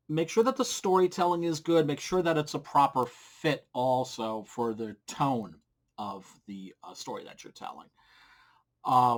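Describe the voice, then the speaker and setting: A man's voice: medium pitch, a bit bright. A middle-aged man giving casual, blunt advice to camera in an informal, crude-humored way. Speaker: comic book creator giving advice. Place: small indoor home room. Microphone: desk microphone with pop filter, close.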